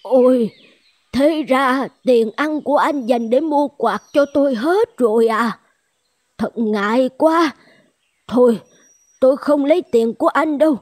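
A woman voicing a kindly, humble old village fan seller in a folk-tale cartoon, gentle and plaintive. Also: sits high, unhurried, dry recording. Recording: music behind the voice.

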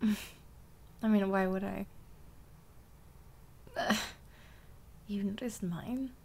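A woman acting a bossy young step-sister in an ASMR roleplay. She talks slowly in a casual, intimate way, with a middle-pitched voice. Her teasing lines often soften toward a whisper. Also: vocal fry.

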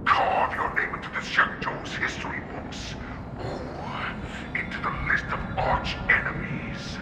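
An actor playing a menacing, hulking male wolf-beast warlord in a game cutscene, speaking slowly and persuasively. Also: heavy background noise, lightly acted. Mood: tempting, menacing. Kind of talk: game voice acting. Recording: roomy sound under loud orchestral score.

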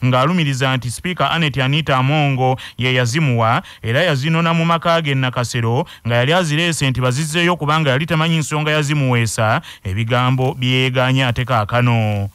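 Ugandan guy reading radio news fast, smooth voice, dry studio sound. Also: mid-range voice, steady tone, a bit bright, no room sound. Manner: brisk formal newsreader delivery. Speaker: news anchor.

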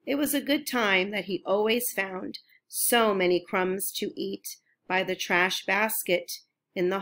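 A woman reading a children's picture book aloud as a storyteller, in a careful, expressive way. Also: fairly low pitch. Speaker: storybook reader.

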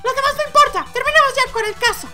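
A man's voice: very high voice, fast talker, bright, forceful tone, faint music behind, voice pushed up, exaggerated voice. A man voicing a haughty, impatient young woman prosecutor in a courtroom video game, with imperious, scornful delivery. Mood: impatient, insistent. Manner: imperious, scornful, clipped commands.

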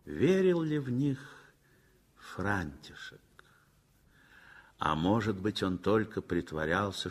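A man with a husky, slightly breathy voice reads a literary story aloud for a radio play. His pitch rises and falls freely, and he lets each phrase swing with feeling. The delivery is measured and expressive, like classic radio theatre. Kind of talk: scripted literary narration, 1960s radio theatre.

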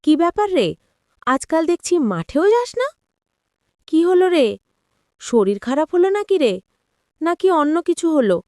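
A woman voicing a bossy, excitable village farm woman in a cartoon, nagging and exclamatory. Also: high voice, moderate pace, pauses often, dry recording, studio quality, pitched above the performer's own voice. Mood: curious. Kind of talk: cartoon voice acting.

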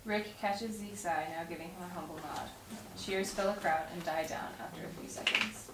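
A woman's voice: lowish voice, steady tone, moderate pace. A young woman reading script stage directions aloud at a table read in a home dining room. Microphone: far camera. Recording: roomy, distant mic, clear background noise.

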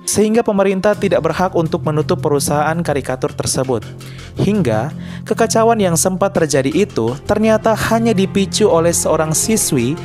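Man reading news narration over music. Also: on the high side. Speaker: channel narrator. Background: faint background music.

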